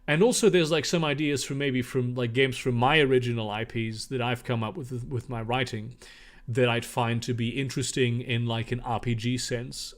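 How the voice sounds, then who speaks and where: A young German man reading viewer questions aloud on a livestream and answering them in casual, rambling, profane chat. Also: medium pitch.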